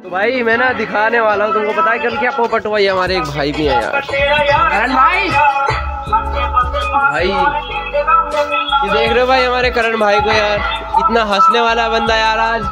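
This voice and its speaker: A young man with a very high voice chats to his phone camera outdoors, teasing friends and laughing as he talks. Loud music plays under him. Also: moderate pace, long pauses. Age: young adult. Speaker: village vlogger. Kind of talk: casual vlog chat to camera. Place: outdoors in a village and on a moving bike. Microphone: phone held at arm's length.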